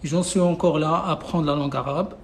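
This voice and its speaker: A man's voice: on the high side, fairly even intonation, fairly quick, slight background noise. A man whose first language is Arabic, teaching a live online class in a casual, insistent way, repeating himself with many fillers and restarts. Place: away from home, no whiteboard.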